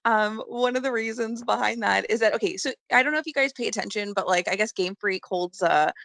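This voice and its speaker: A woman's voice: medium pitch, brisk, vocal fry, fairly bright tone, no echo. Female podcast guest, mid-range voice, chatty and giggly, casual talk, dry recording. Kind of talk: casual chat about games and films.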